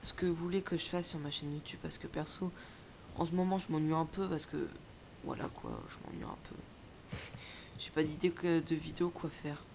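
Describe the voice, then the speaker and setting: A man chatting casually while playing a video game, relaxed and laughing often, trailing off with fillers. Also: fairly high pitch, normal speed, vocal fry, a little hiss or hum. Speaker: YouTube gamer. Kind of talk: casual gaming chat. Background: faint keyboard typing.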